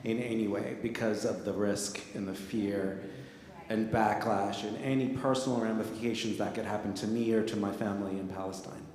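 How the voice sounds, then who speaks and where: Man, fairly low voice, frank and a little halting, echoey church hall. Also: fairly even intonation, darkish tone. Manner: candid, slightly halting. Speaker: panel participant. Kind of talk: personal reflection at a discussion. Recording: very echoey hall, faint noise.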